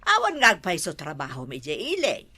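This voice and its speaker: A woman's voice: on the low side, wildly swooping pitch, a little fast, bright, forceful tone, husky, no room sound, heavily acted. A woman playing a village mother who lectures her son with advice. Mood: advising.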